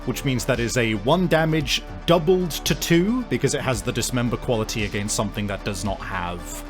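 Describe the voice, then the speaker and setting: A man with a medium-pitched voice talks as a podcast host and game master. His delivery is casual, unscripted and slightly hesitant. Music plays behind him. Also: normal speed. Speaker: game master and show creator. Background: background music.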